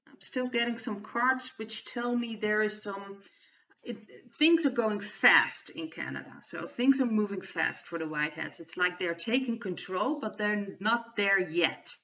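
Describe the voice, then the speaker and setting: A Dutch-accented woman with a slightly low voice talks through a card reading for her channel. She speaks hesitantly and often restarts her phrases. She sits a little away from the microphone, and the lo-fi recording sounds somewhat dull. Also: a bit bright. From Netherlands. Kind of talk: casual spoken tarot reading monologue. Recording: distant, dull lo-fi mic.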